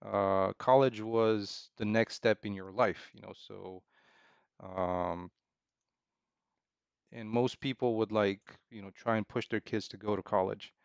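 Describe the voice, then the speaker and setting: A man chatting casually and unscripted as he answers questions, rambling haltingly and circling back over his phrases. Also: fairly low pitch, fairly even intonation, vocal fry, smooth, dry recording, dull audio. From Romania. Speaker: game developer streaming.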